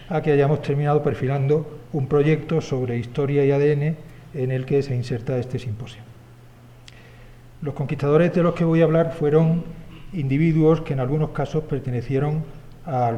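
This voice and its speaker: A man from Spain with a Spanish accent giving an academic conference talk, speaking in a measured way with frequent pauses, fillers and tag questions. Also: medium pitch, dark tone, highs cut off. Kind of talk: academic lecture. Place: university conference hall.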